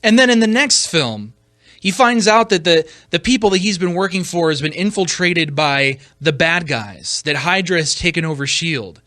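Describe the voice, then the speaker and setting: Bright, higher-voiced man arguing his point. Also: expressive, moderate pace, no echo, studio quality. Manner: emphatic, argumentative, rhetorical questions. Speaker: podcast host. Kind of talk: opinion commentary on films.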